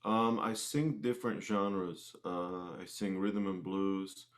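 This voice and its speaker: A man answering questions casually as an interview guest, often halting and rephrasing his thoughts midway. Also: deep voice, steady tone. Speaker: interview guest, singer-musician.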